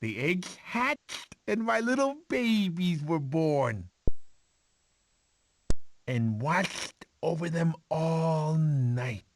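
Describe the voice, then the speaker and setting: A goofy cartoon sloth uncle tells a bedtime story in a highish, swooping voice. He is fussy, sentimental and rambling, doting on every phrase at an unhurried pace, with some noise behind him. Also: animated. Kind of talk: animated film character narration.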